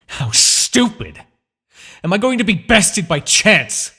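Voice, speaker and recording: A man playing a proud, vengeful demon king in a dramatic fantasy audio roleplay, with a husky, gravelly voice and a theatrical, gloating manner. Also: high-pitched, very animated, extremely slow, exaggerated voice. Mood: indignant, furious. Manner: theatrical, gloating, with sighs and dark chuckles.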